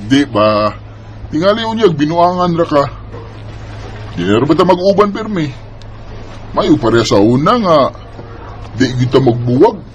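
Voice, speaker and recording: A man acts a devoted, jealous young Filipino with a Bisaya accent in a radio drama. His voice runs a little high and moves expressively, over some faint noise in a home-made recording. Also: sing-song, normal speed, long pauses, dull audio. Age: young adult. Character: earnest, jealous young boyfriend and devoted grandson. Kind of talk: amateur radio drama acting.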